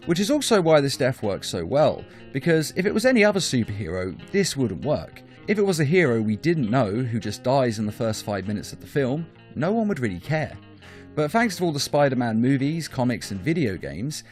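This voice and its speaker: Lively male countdown narrator over music. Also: mid-range voice. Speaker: host of a top-five countdown video. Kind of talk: scripted narration, video essay commentary. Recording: music behind the voice.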